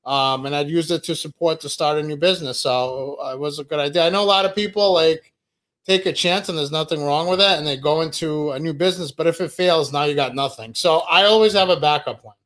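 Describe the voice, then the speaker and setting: A man in his thirties, from Russia, chats easily as a podcast guest, his voice sitting a little high. He tells his story in a relaxed way and laughs often. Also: quick pace. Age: 30s. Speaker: business owner guest. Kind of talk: casual interview chat.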